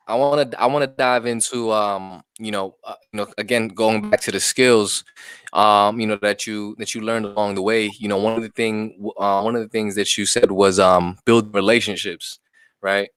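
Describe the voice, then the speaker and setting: A male host asks his questions in fits and starts, circling through fillers before he gets to the point. His voice sits on the low side, smooth and resonant, and the recording is close and dry. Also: fairly even intonation, a bit bright, dry recording. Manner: halting questions full of fillers and restarts. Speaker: podcast host. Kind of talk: casual interview.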